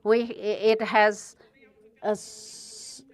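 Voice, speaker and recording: A woman's voice: medium pitch, flat delivery, drawn-out words, smooth. A woman from Portugal with a European Portuguese accent, giving a conference presentation as a university researcher and choosing her words carefully. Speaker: guest speaker, university researcher.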